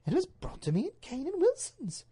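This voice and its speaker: Woman as an anguished high-pitched duchess, melodramatic. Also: huge pitch swings, normal speed, mellow. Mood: puzzled. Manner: pleading, breathless, melodramatic. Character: anguished, highly strung English duchess, a wife. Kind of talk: dramatised storybook reading.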